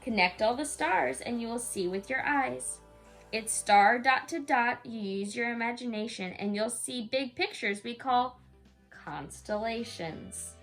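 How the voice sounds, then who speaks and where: A woman's voice: on the high side, measured pace, a bit bright, faint music behind. A woman reading a rhyming bedtime story aloud to a young child, in a sing-song way with casual asides.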